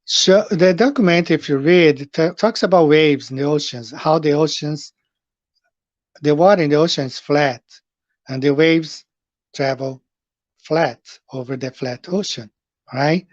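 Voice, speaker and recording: Brazilian-accented male streamer, middle-aged, higher voice, dry dull sound, loose rambling delivery. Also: unhurried, dry recording. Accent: Brazilian Portuguese. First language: Portuguese. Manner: rambling, with frequent fillers and questions to viewers. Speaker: live stream host. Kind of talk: casual live stream chat with viewers. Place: home, in Japan.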